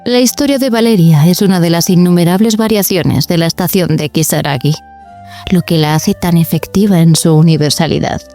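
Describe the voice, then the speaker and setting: A woman with an accent from Spain, hosting with measured, enigmatic, formal scripted narration. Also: on the low side, dark tone, soft background music. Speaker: podcast host.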